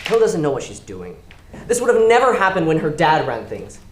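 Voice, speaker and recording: Cynical, ranting loan shark played by a stage actor; very lively delivery, live room with some noise. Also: medium pitch, fairly quick. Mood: wry, irritated. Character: indignant, cynical loan shark running shady businesses. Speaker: actor in a school play. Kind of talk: stage play dialogue. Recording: roomy live theater, audible noise.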